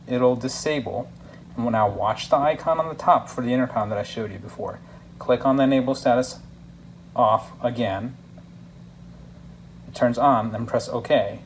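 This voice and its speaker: A man with an average-pitched voice talks through a hands-on product demonstration. He is casual and instructional, going step by step. Faint room noise sits behind his voice. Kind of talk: hands-on tutorial narration, casual. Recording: clear background noise, room ambience.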